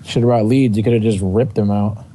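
Young man, very mellow dark voice, casual banter, faint noise. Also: lowish voice, fairly even intonation, moderate pace. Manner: offhand, advice-giving banter. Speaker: friend on voice call. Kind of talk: casual gaming chat.

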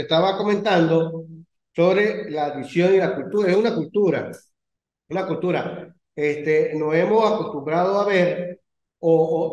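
A middle-aged Venezuelan man leading an online class discussion as professor, speaking in a measured, hesitant way with many fillers. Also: fairly high pitch, a little mellow. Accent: Venezuelan Spanish. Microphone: video call. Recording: echoey lo-fi video call audio.

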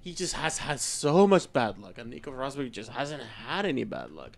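A man's voice: mid-range voice, very animated, normal speed, vocal fry, a crowd in the background. A man from Colombia co-hosting a casual podcast, talking loosely with lots of "like" and casual swearing. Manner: filler-heavy, swears casually. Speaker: podcast co-host. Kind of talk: casual chat.

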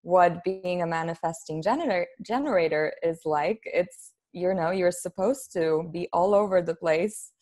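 A woman's voice: fairly low pitch, measured pace, a little mellow. A woman in her thirties from Poland, talking informally and ramblingly as an interview guest on a podcast. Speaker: podcast guest, human design expert. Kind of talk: casual interview chat. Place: plain room at home, on a video call.

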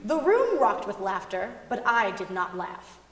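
A woman's voice: mid-range voice, big pitch swings, measured pace, slight background noise. A young woman giving an emphatic dramatic reading of a first-person account on stage. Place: large concert hall stage, seated at music stand, before an audience. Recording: echoey concert hall.

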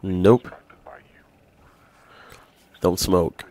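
A man's voice: low voice, steady tone, very slow, a little mellow, slight background noise. A tired man giving casual live commentary on a game, slangy and sweary, muttering to himself and his chat. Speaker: video game streamer.

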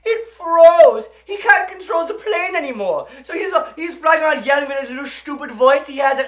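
A performer playing an excitable, boastful, bloodthirsty First World War German fighter pilot in a comedy sketch. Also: high voice, moderate pace, reverberant. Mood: eager storytelling. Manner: boastful, excitable, storytelling with shouted outbursts.

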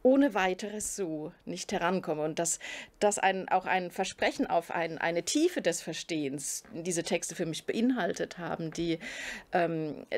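A middle-aged woman professor from southern Germany, answering interview questions to camera in a studio, thoughtful and often pausing to search for words. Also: lowish voice, animated, a little mellow. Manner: thoughtful, searching for words, frequent hesitations and restarts. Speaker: philosophy professor. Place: indoor studio before a curtain.

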